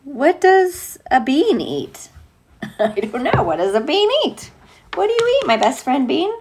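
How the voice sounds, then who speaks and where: A woman teacher reading a picture book aloud to young children, expressive and playful, with gushing, chatty asides. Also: high voice, measured pace.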